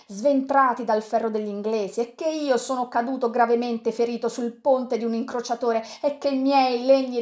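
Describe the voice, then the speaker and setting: A woman voicing a brooding pirate chief, fierce and lovesick. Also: close to an everyday voice. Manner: impassioned, soft and low in grief, fierce in anger. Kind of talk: audiobook reading.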